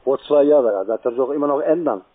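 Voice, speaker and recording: A man with grammar makes an insistent cold-call pitch. He talks quickly at a medium pitch, and the line is tinny and narrow like a telephone. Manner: pushy, insistent, halting grammar. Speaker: call center electricity salesman. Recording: tinny, band-limited phone line.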